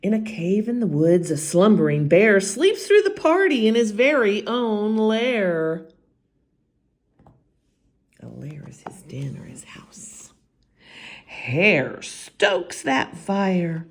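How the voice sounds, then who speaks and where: A woman reading a picture book aloud for children in a theatrical way, with whispered asides and stretched-out refrains. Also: medium pitch. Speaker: children's story time reader.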